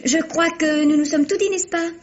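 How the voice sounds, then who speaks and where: Actress doing snippy proud young starlet, very high voice, theatrical, muffled old radio sound. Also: female voice, steady tone, normal speed, natural voice. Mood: icy politeness. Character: proud young film actress, politely sarcastic and slighted. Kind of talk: French radio mystery play acting. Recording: dull old radio recording.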